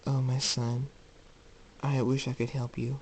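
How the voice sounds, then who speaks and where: Man as storybook mother pony, gentle, loving, soft-spoken, soothing. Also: medium pitch, flat delivery, unhurried, husky, rough recording. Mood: tender, loving.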